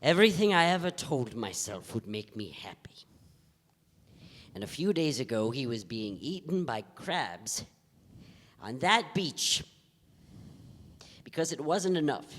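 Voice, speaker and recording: An actor playing a melancholy, solemn detective's sidekick in a live staged play reading. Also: male voice, medium pitch, very animated, unhurried, pauses often, fairly bright tone. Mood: solemn, pained. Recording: echoey, distant live theatre recording.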